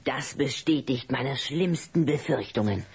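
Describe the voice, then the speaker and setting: An actor playing a gloomy, bossy little vampire with a husky, hoarse voice in a children's audio drama. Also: medium pitch, lively intonation, measured pace, full character voice. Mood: grave, alarmed.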